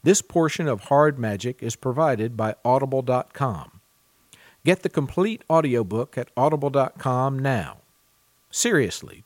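A man's voice: on the high side, dry recording, studio quality. A man hosting a book podcast, moving between interview chat and scripted announcements. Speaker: podcast host, publisher.

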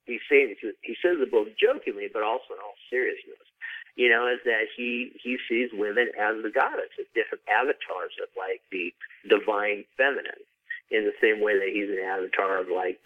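A man with a low voice talks in a casual, explanatory way as an author being interviewed. His speech is informal and full of conversational asides. The sound is narrow and phone-like, dry and without room echo. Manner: informal, frequent "you know" asides.

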